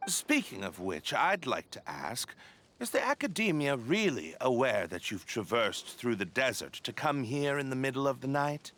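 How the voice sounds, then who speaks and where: A man's voice: mid-range voice, very animated, normal speed, fairly bright tone, slight background noise. A man playing a shifty, irritable, falsely polite expedition boss in a video game, with a nervous, evasive manner. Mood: smooth, probing.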